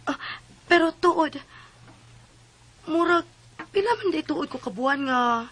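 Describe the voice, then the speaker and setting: A young pregnant wife in a Bisaya radio drama. Her voice is high and she speaks hesitantly with a Cebuano accent, simple and unsure of herself. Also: animated, slow pace, fairly bright tone, full character voice.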